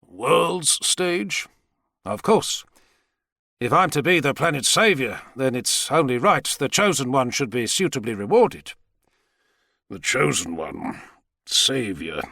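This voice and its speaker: A man's voice: mid-range voice, big pitch swings, a little slow, bright, forceful tone, dry recording, studio quality, voice pushed up, exaggerated voice. A man voicing a brilliant, wry captive professor in an audiobook thriller, composed, sardonic and articulate. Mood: mocking.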